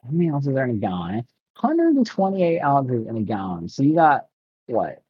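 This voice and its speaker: A man's voice: mid-range voice, wildly swooping pitch, normal speed, slightly rough, no room sound. A young man co-hosting a casual podcast, teasing and laid-back, laughing a lot. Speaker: podcast co-host. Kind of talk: casual banter between friends. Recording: dull dry lo-fi sound.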